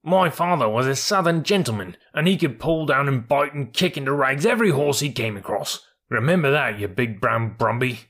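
A man's voice: mid-range voice, expressive, a little slow, a bit bright, pitched above the performer's own voice. A man voicing a proud, stubborn, quarrelsome male army mule in a storybook reading, indignant and blustering. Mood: angry.

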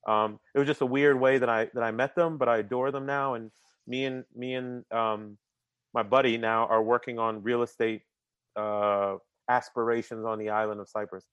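Chatty guy on a podcast, mid-pitched voice, dry close mic, keeps restarting words and throwing in slang. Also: moderate pace, clear, resonant tone, no echo, dull audio. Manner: energetic, talkative, repeats words when starting sentences; uses casual slang like "man" and "bruh". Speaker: podcast guest. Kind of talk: casual interview conversation.

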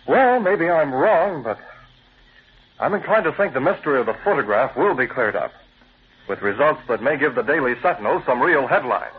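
A man plays a shrewd, composed newspaper chief in a vintage radio serial. He speaks thoughtfully and with authority, his pitch moving expressively. The sound is narrow, with light hiss. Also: big pitch swings, moderate pace, lightly acted. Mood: resolute. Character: calm, shrewd male newspaper boss, secretly a crimefighter. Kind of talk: old-time radio drama acting. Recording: tinny, hissy vintage radio recording.

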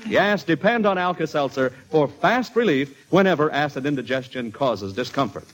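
Old radio ad announcer man, lively up and down pitch, a bit high, emphatic sales pitch. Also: moderate pace. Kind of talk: old-time radio commercial read. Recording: vintage radio broadcast.

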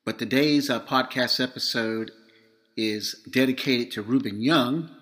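Slightly hoarse man hosting a podcast. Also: medium pitch, a little slow, fairly bright tone. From Florida, USA. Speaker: podcast host. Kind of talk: prepared podcast monologue.

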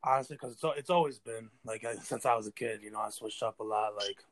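A man's voice: on the low side, fast. Slangy young American man, interview guest. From New York State, United States. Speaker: interview guest, battle rapper. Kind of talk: casual slangy interview talk.

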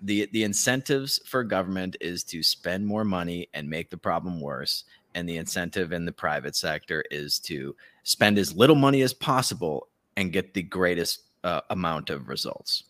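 A man's voice: sits low, normal speed, hardly any pauses, fairly bright tone. A man co-hosting a podcast, bantering casually about the news in an informal, slangy, opinionated way. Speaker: podcast co-host.

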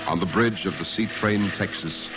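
An actor serving as grave narrator of a wartime adventure in an old radio drama. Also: male voice, deep voice, moderate pace, lightly acted, rough recording. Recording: old radio broadcast with loud music. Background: loud music.

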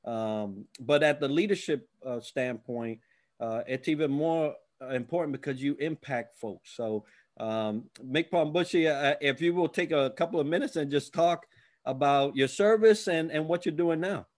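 A man's voice: on the high side, moderate pace, darkish tone. A man hosting an interview podcast, speaking in a deferential, somewhat formal way to his guest. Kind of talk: interview with scripted intro.

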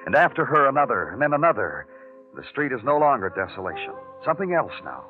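An actor playing a world-weary, brooding police detective with a dramatic delivery in a 1950s radio noir drama. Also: male voice, medium pitch, sing-song, quick pace, close to an everyday voice. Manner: brooding, dramatic, clipped in dialogue. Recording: phone-like old radio recording with music.

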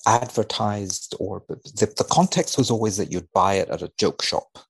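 A man speaking as a researcher guest on a podcast, commenting and reading in measured, formal wording. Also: on the low side, vocal fry, husky, no room sound. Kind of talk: conversational commentary and reading aloud from a study.

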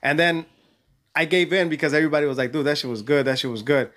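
A woman's voice: low voice, fast. A woman co-hosting a casual group chat, slangy and slipping between English and Spanish. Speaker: podcast co-host.

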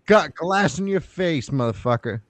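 A man's voice: fairly high pitch, animated, moderate pace, dry recording. A man co-hosting a casual podcast, talking bluntly and swearing as he jokes. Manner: blunt, swears, laughs often. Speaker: podcast co-host. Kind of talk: casual chat.

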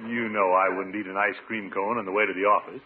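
An actor playing a pompous, blustery middle-aged American water commissioner in a classic radio comedy, with a theatrical manner. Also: male voice, sits low, fairly even intonation, quick pace, lightly acted. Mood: chatty, grumbling. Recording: tinny, noisy old radio recording.

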